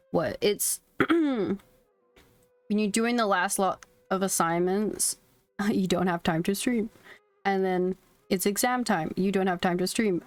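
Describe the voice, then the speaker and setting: Casting: young woman streamer, unhurried and playful, casual chat, dry close sound over faint game music. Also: mid-range voice, dry recording. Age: young adult. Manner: playful, giggly. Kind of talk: casual livestream chat and reactions.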